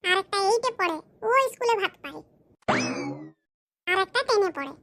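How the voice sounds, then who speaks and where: A performer voicing a boastful, scheming village man in a cartoon, with a smug, slow, explaining manner. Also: very high voice, expressive, background noise. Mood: bragging. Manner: smug, bragging, explains his schemes slowly. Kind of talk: cartoon comedy voice acting.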